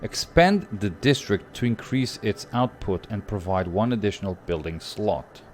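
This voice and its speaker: A man's voice: lowish voice, expressive, normal speed, a little hiss or hum. A young Portuguese man with a Portuguese accent, thinking aloud through a strategy game on stream and chatting with viewers. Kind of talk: live gameplay commentary.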